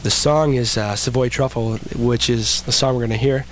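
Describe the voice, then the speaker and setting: A man's voice: medium pitch, fairly even intonation, moderate pace. A young man co-hosting a college radio show, babbling and teasing his partner. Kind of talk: casual college radio banter. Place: radio studio. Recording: old radio aircheck with faint hiss.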